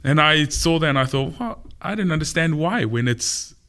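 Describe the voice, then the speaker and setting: A man's voice: fairly high pitch, moderate pace. Laughing New man. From Samoa, raised in New Zealand. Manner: laughs often, animated anecdotes. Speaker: opera tenor guest. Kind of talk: casual interview storytelling.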